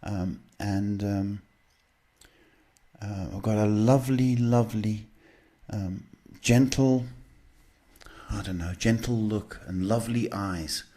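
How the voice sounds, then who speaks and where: A man hosting live psychic readings, talking casually and haltingly as he advises people, often checking in with a short questioning word. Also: lowish voice, warm tone. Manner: halting, repeats "okay" as a check. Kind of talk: live advice to callers, casual spiritual talk.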